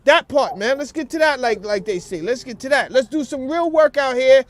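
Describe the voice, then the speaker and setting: A man's voice: very high-pitched, moderate pace. A man in a casual, earnest phone conversation, using slang and restating his points. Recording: noisy phone call, background ambience.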